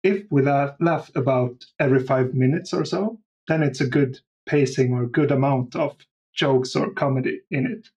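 A man giving measured, reflective answers as a casual interview guest. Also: mid-range voice, a little mellow, muffled recording. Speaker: audio drama creator, guest.

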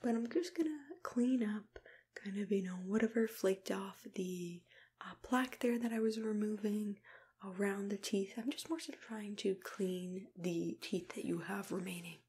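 A young woman whispering gently and soothingly close to the mic, in an ASMR roleplay as a dentist. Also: mid-range voice, normal speed, breathy edge. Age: young adult. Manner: soothing, gentle, hesitant murmured phrasing. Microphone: close to the microphone.

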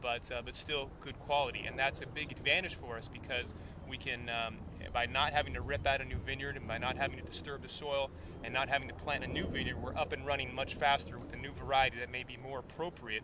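A young man talks through a farming job outdoors in an easygoing, plainspoken way, with plenty of "uh" and "um". His voice sits at an ordinary middle pitch. Steady outdoor noise runs under the lapel-miked recording. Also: fast, hardly any pauses. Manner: relaxed, plainspoken, with frequent "uh" and "um". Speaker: vineyard owner presenting a field video. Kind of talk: casual instructional talk. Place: outdoors in a vineyard.

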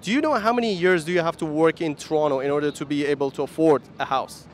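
A young man with a rather high voice works a downtown corner with a handheld mic. He asks polite, quick questions and repeats each answer back. Light traffic hum sits behind him. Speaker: street interviewer. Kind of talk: vox pop street questions. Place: busy downtown street corner outdoors. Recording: traffic noise outdoors.

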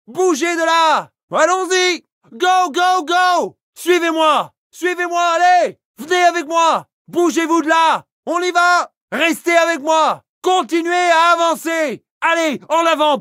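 An actor playing a fierce, urgent infantry soldier in a video game, shouting short battle lines with a strained, forceful voice. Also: male voice, very high-pitched, unhurried, hardly any pauses, dry recording, clean studio sound, heavily acted. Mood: commanding. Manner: urgent, aggressive, short exclamatory bursts.

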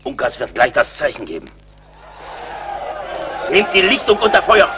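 An actor playing a fierce, bold frontier fighter in an adventure radio play. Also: male voice, on the high side, wildly swooping pitch, moderate pace, background noise. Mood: commanding. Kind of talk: dramatic German radio play acting.

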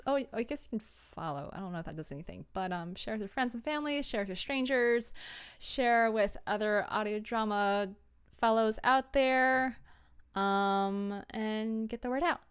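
A young woman with a high, very clear and smooth voice talks casually to her livestream. She comes across as an informal creator sharing advice, giggly and quick to poke fun at herself. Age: young adult. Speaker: streamer and audio drama creator. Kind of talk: casual livestream chat with tips. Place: small home room, indoors.

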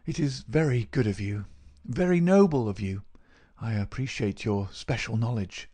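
A man voicing a gravely ill, semi-delirious character in a storybook, pleading weakly in a halting, gasping whisper. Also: mid-range voice, mellow, lightly acted. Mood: feeble. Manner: slow, feeble, halting pleading.

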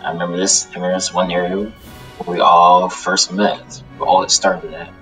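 Low-voiced, laid-back demon, played by a man. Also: flat delivery, normal speed, bright, forceful tone, poor audio. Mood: mild, easygoing. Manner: laid-back, murmuring hums, repeats phrases. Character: calm, easygoing male demon, mild and polite. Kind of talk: improvised game roleplay dialogue. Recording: faint music behind the voice.

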